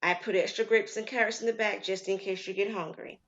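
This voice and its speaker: A woman's voice: mid-range voice, fairly even intonation, brisk, lo-fi sound, natural voice. A woman voicing a worried, tender mother in an earnest way, in an audio story. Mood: caring, firm. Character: worried, tender mother of a sick young daughter.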